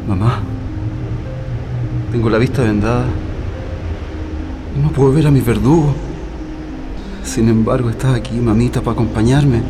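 An actor playing a frightened young political prisoner in a radio drama, halting and submissive, with a Chilean accent. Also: male voice, mid-range voice, noisy background, close to an everyday voice. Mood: tender, mournful. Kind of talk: radio theatre acting.

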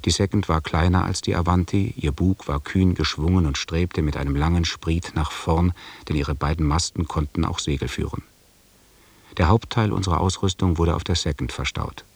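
Rauer, sehr tiefer Erzähler. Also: male voice, deep voice, fairly even intonation, creaky, breathy edge, full character voice. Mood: sober, observant. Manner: measured, factual. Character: male expedition chronicler, sober and observant. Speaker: narrator in a radio drama. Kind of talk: scripted descriptive narration.

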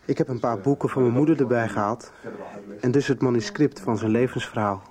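A man's voice: medium pitch, a little fast, a little mellow, lightly acted. Guy acting earnest young man in a radio soap, mid voice, bit hesitant, natural. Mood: earnest, intense. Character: earnest young man searching for his father.

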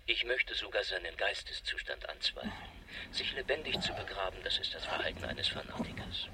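A man's voice: sits low, lively intonation, brisk. An actor playing a cold, pompous company spokesman reading a curt, rehearsed press statement on the radio in an audio drama. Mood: curt, scornful. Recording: distant radio broadcast with faint noise.

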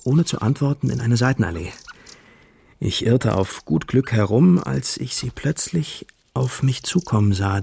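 An actor playing a lovestruck young male student who tells his story in hushed, hesitant literary narration for a radio play. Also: medium pitch, creaky, husky, full character voice. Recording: radio drama production with music behind.

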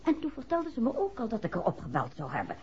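An actress in a 1960s Dutch radio drama, playing a soft-spoken, expressive woman in a detective serial. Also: fairly high pitch, fast talker. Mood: hesitant, puzzled. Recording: dull, noisy 1960s radio recording.

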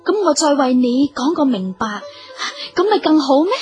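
Young noblewoman wife: high voice, Cantonese, gentle and solicitous, period radio drama, music underneath. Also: animated, normal speed, highs cut off. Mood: earnest, coaxing.

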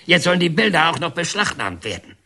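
An actor playing a defiant, bitter, sarcastic painter in a German radio drama. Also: male voice, on the high side, sing-song, quick pace, pushed and bright, heavily acted. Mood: outraged. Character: defiant, bitter male painter, banned by the regime.

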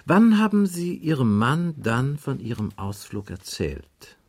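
An actor in a German radio crime play playing a patient, wry police inspector who questions in a dry, probing way. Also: male voice, medium pitch, very animated, unhurried, a little mellow. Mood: dry, methodical. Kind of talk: 1980s German radio drama dialogue.

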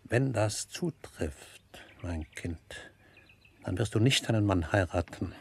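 An actor playing a stern, disapproving father over a hundred years old in a radio drama, speaking formally and coldly in a husky voice. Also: lowish voice, expressive, darkish tone, heavily acted. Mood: reproachful. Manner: formal, cold, measured and reproachful. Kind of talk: German radio drama acting.

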